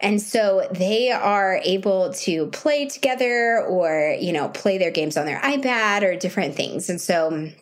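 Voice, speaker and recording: A woman's voice: mid-range voice, expressive, a little slow, few pauses. A woman in her thirties, home sick with a sore, scratchy throat, chatting to her viewers in a casual video diary. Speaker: vlogger.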